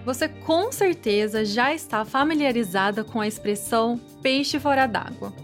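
A woman's voice: on the high side, moderate pace, soft background music. A woman hosting a podcast, opening the show and asking friendly questions in an upbeat way. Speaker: podcast host. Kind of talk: podcast intro and interviewing.

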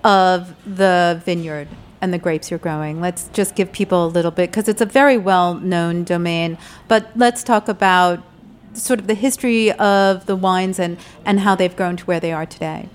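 A woman's voice: fairly low pitch, steady tone, normal speed. A woman with a New Jersey American accent, hosting an interview in a casual, conversational way. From New Jersey, United States. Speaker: interview host.

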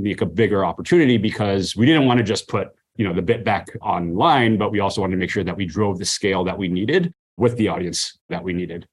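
Man, low voice, interview guest, marketing professional, conversational, lots of "you know" and "like". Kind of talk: casual interview answers about work.

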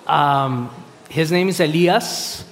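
Male guest preacher, 30s, fairly high voice, halting delivery with fillers, reverberant hall. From Costa Rica. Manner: halting, many fillers and restarts. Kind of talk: sermon with personal introduction. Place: large hall.

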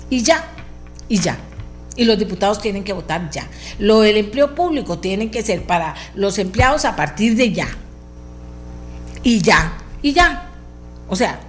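A woman with a Costa Rican accent and a powerful voice, hosting a talk show with insistent, emphatic political commentary that repeats phrases for stress. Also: medium pitch. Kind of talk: opinionated political commentary addressed to listeners.

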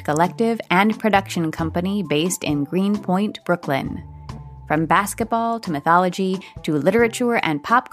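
A woman hosting a podcast, reading a scripted introduction and interviewing a guest. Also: on the low side.

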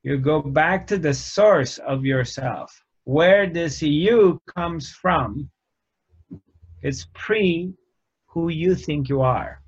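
A man giving a reflective spiritual talk, speaking in short phrases with frequent pauses. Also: fairly high pitch, breathy edge. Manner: slow, measured, pausing often between phrases. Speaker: spiritual teacher. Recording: dull lo-fi recording.

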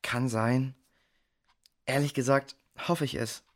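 A performer playing an anxious, self-doubting boy in a children's audio drama, hushed and hesitant. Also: medium pitch, steady tone, slow, natural voice. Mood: tired, unsure.

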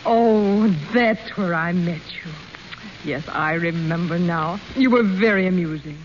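An actress playing a haughty, spiteful society sister in a 1930s radio play, cold and sarcastic. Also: female voice, fairly low pitch, a little slow, natural voice. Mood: sneering. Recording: muffled hissy 1930s radio broadcast.

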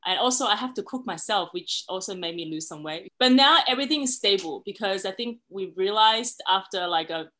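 Woman in her 30s, podcast guest, bright clear tone, open and chatty. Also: mid-range voice, animated, a little fast, few pauses. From China, raised in Australia. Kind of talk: casual podcast conversation.

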